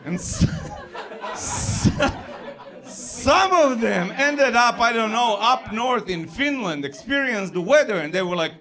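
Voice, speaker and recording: Male stand-up comic, Serbo-Croatian accent, lively pitch, measured pace. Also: a crowd in the background. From former Yugoslavia. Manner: animated, self-deprecating, builds to yells. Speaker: stand-up comedian. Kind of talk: stand-up comedy set.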